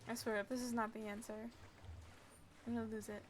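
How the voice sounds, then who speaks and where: A woman's voice: a little high, level tone, brisk, slight background noise. A young woman giving casual live commentary as she streams a game, sarcastic and self-mocking.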